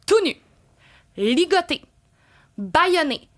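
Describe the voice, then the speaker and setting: A young woman with a Québécois accent telling a true crime story on the radio, playful and lively, and hushed for the grim details. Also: sits high, slow. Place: radio studio.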